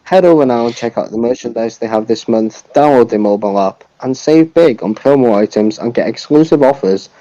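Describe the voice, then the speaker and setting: A man's voice: mid-range voice, fairly quick, hardly any pauses, warm tone, no echo. A man co-hosting a podcast in casual chat, recorded dry on a dull, lo-fi mic. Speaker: podcast co-host.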